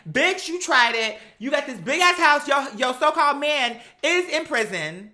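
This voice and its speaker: A woman's voice: high voice, normal speed. An American woman hosting a recap show, giving casual, blunt, sassy opinions with plenty of slang and swearing. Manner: sassy, animated, slangy, acts out imagined dialogue; blunt, profane. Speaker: host of a reality TV commentary show.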